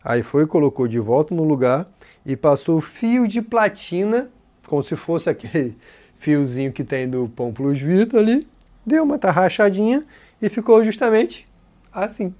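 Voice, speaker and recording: A man's voice: on the high side, moderate pace. Casual man with a carioca accent. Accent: Rio de Janeiro Portuguese. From Rio de Janeiro, Brazil. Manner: casual and expressive, voices others' words in quotes. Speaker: channel host. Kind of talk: personal storytelling to camera.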